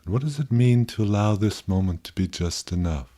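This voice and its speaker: A man leading a guided meditation in a gentle, contemplative way, with pauses and softly posed questions. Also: fairly low pitch, animated.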